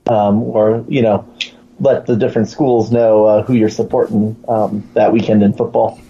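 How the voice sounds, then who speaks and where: Mellow-voiced man, slightly dull recording. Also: on the low side, level tone, normal speed, breathy edge. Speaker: guest. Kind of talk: casual chat.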